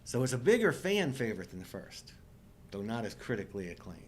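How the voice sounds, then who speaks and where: Man around 50, mid-pitched, very lively intonation, jokey co-host, noticeable background noise and rumble. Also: fairly quick. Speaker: co-host of a live show. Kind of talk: casual chat about films.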